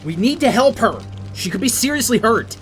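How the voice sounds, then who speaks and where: A man's voice: very high voice, animated, fairly quick, a bit bright, soft background music. A man playing a rattled, argumentative teenage boy in an audio drama, exasperated and sweary. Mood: concerned.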